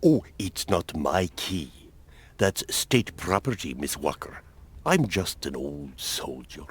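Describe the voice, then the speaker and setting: Very deep, husky old colonel. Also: male voice, sing-song, exaggerated voice. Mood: wry, resigned. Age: older. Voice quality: husky, breathy low voice. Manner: slow, unhurried, wry. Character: weary old male colonel, retired-soldier type, sly. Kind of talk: video game voice acting.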